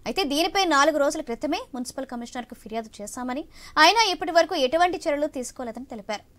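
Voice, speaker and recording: A woman news anchor reading a scripted report in a brisk, even newsreader manner from a television studio. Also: sits high.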